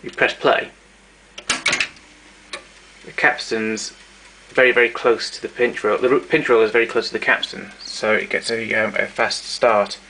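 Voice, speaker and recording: A man narrating a casual show-and-tell of his tape recorders, explaining step by step with pauses and fillers. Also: fairly low pitch, fairly even intonation, gravelly, pushed and bright, a little hiss or hum.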